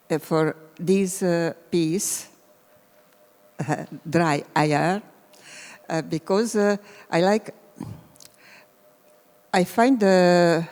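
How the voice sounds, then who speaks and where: Woman, Hungarian-accented English, slow and halting, low-ish voice, reverberant hall. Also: a little mellow. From former Yugoslavia. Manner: halting, searching for English words, laughing nervously. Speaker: composer. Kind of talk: hesitant panel talk.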